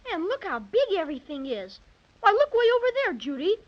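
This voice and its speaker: A woman's voice: extremely high pitch, big pitch swings, brisk. A woman playing an eager, wide-eyed little boy in a 1930s radio adventure play. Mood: amazed. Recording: muffled 1930s radio recording.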